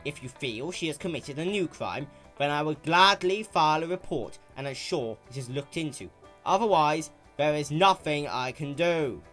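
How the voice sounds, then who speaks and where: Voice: medium pitch, animated, fairly bright tone, soft background music, pitched above the performer's own voice, natural voice. A performer voicing a professional male police stallion, formal and firm, with patience running short. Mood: strained patience. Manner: formal, firm, measured officialese.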